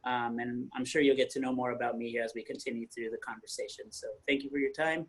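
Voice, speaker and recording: A young man from Northern Colorado, a painter and muralist, introducing himself on a panel in a relaxed way, often pausing to find his words. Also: mid-range voice, husky. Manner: relaxed, searching for words with many fillers. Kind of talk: casual self-introduction on a panel.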